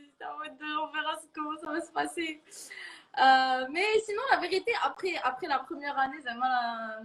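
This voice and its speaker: A woman's voice: high-pitched, fairly bright tone. A woman in her early twenties with a Moroccan accent, chatting casually and informally in an interview, with slangy filler words and a giggly manner. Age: early 20s. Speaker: international university student giving a testimonial.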